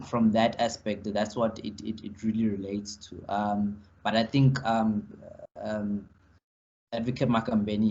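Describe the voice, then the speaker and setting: Halting man, muffled call. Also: fairly low pitch, darkish tone. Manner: halting, full of fillers and restarts. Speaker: legal practitioner on a panel. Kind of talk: panel discussion answer. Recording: muffled video call audio.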